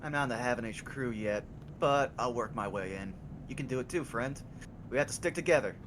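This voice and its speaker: A man's voice: mid-range voice, a little fast, noisy background, lightly acted. A man voicing a tired, hopeful young father who works the docks, talking earnestly and stumbling over his words. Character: weary hopeful young father, dock worker. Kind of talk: voicing a game character in a live read-along. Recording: music playing under the voice.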